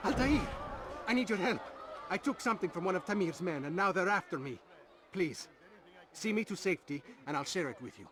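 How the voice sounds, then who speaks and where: An actor playing a nervous informant in a video game, speaking in an earnest way. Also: male voice, high voice, expressive, a little fast, crowd noise. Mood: frightened, pleading. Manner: urgent, earnest. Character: nervous male informant on the run, pleading for protection.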